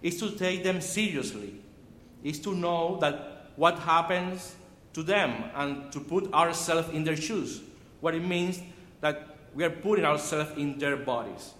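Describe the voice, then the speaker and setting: Cuban guy preaching slow in a big echoey church, spanish is his first language, kinda high voice, earnest, restarts words a lot. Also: a bit bright. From Cuba. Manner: measured, earnest delivery with frequent restarts. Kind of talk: sermon. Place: church sanctuary. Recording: echoey hall from far off.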